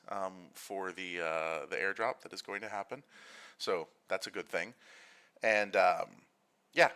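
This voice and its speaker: A man's voice: sits low, sharp tone. A man with an American accent, hosting a casual livestream chat in a rambling, self-deprecating, joking way. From United States. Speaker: host.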